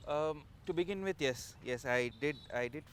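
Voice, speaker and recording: A man's voice: fairly high pitch, a little hiss or hum. A man with a Tamil Indian English accent, a comedian answering interview questions casually with frequent restarts. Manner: rapid, hesitant, lots of restarts. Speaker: comedian guest.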